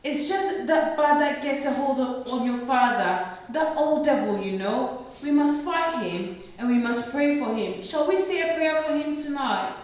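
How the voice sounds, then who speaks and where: A woman's voice: sits high, expressive, a little slow. A woman playing a devout, long-suffering wife and mother in a radio play, earnest and pleading, hurt but defiant. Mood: hurt, tender. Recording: echoey room, far from mic.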